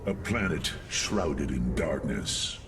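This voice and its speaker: A man's voice: extremely low pitch, lively intonation, takes it slowly, heavily acted. A man playing a proud, battle-hungry alien warrior in a game, grave, terse and formal. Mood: grave pride. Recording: game audio, distant, under loud music.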